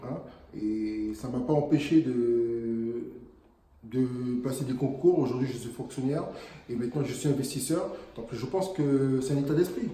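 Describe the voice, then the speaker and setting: A man's voice: mid-range voice, moderate pace, dark tone. A man from French Guiana, an investor guest speaking earnestly and motivationally in a casual interview, with frequent hesitant fillers. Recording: echoey room.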